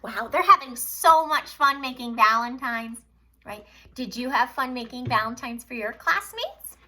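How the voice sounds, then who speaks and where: Slow, animated woman reading to children. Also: a little high. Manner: expressive, asking the listeners questions, dropping to hushed asides. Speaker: storybook reader addressing young children. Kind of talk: animated children's read-aloud.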